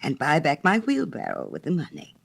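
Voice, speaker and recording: Woman acting little man, humble, guileless, eager to please, animated intonation, storybook character. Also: low-pitched, fairly quick, gravelly, slightly rough, full character voice. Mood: cheerful. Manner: earnest, guileless, deferential. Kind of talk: dramatized storybook reading.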